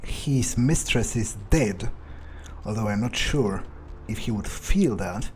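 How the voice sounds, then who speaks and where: A man's voice: on the low side, expressive, slow pace, darkish tone, slight background noise. A man playing an arrogant, power-drunk vampire in an improvised in-character game monologue, sneering, profane and domineering. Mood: contemptuous, musing.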